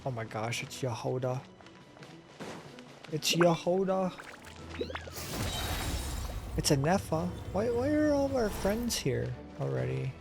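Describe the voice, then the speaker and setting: A man's voice: fairly high pitch, sing-song, slow pace, gravelly, long pauses. A man streaming a video game, reacting casually and playfully and reading some of the dialogue aloud. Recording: faint game music behind.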